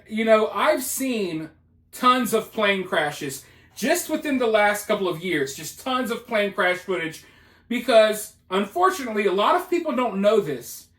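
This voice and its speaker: A man's voice: sits high, a bit bright, reverberant. A man giving casual, opinionated news commentary, speaking emphatically in colloquial language and repeating himself for effect. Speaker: commentator hosting a news video.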